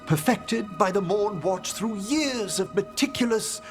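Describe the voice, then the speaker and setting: A man's voice: sits high, animated, unhurried. A man playing a refined, fussy, scholarly necromancer with pompous, ornate diction in a video game. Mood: courtly, precise. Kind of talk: video game cutscene acting. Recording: loud music behind the voice.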